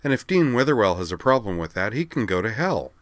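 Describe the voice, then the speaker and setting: A man plays a defiant young woman student in a story reading. She is hot-tempered, blunt and forceful, pitched above his narrating voice. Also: very animated, fast talker, no room sound. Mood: angry, defiant.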